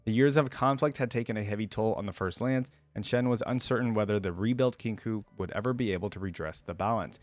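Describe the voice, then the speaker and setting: A man's voice: on the low side, quick pace, hardly any pauses, no room sound. A man hosting a reaction video, moving between casual commentary and reading story text aloud.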